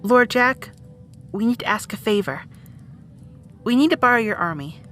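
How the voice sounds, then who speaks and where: A woman's voice: fairly high pitch, lively intonation, normal speed, a bit bright, soft background music. A woman playing an earnest, determined young heroine in an audio drama, speaking with emotion. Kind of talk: amateur audio drama acting.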